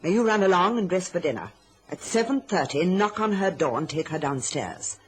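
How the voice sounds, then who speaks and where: A woman's voice: lowish voice, animated, moderate pace, slightly rough. An actress playing a scheming, middle-aged mother in an old radio drama, imperious and silky in manner. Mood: commanding, impatient. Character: scheming, domineering mother with a sweet, coaxing front.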